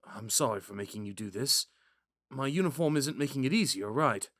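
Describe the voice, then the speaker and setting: A man's voice: mid-range voice, animated, normal speed, fairly bright tone, dry recording, studio quality, lightly acted. Man playing a wistful young prince, swooping intonation. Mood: gentle, charmed. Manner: musing, hesitant, courteous, with sighs and whispered asides. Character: young prince, third in line, wistful, gentle, smitten romantic. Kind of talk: romantic audio roleplay with inner monologue.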